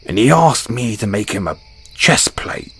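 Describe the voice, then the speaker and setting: A man's voice: mid-range voice, very animated, measured pace, fairly bright tone, husky, exaggerated voice. A man playing a kind, modest dwarven blacksmith in a fantasy audio roleplay, talking in a folksy, hesitant storyteller's way to a companion. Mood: fond wonder. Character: kind, modest, battle-scarred dwarven blacksmith, hearty adventurer.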